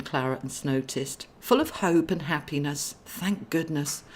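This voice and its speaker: A woman author reading her own novel as scripted audiobook narration. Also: deep voice.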